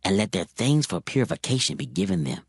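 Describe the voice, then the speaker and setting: A man playing an earnest servant of the king who speaks formally and deliberately. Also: deep voice, sing-song, husky, no echo, studio quality, full character voice. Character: king's servant proposing a plan, earnest.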